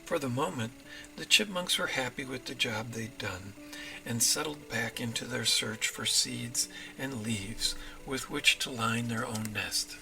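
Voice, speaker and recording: Male children's story narrator, unhurried, expressive, gravelly creak, soft background music. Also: medium pitch, poor audio. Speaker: author reading own storybook.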